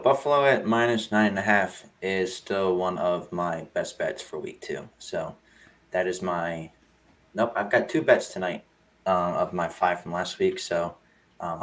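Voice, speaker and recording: A hoarse man co-hosting a casual sports betting chat, easygoing, with a few slips and fillers. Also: low voice, normal speed. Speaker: podcast co-host.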